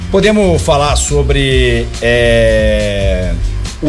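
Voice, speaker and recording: A man hosts a sports radio show, mid-pitched, teasing his panel between sponsor reads. Faint music sits under the talk. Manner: joking, teasing co-hosts, laughs often. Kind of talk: casual sports radio banter with live ad reads.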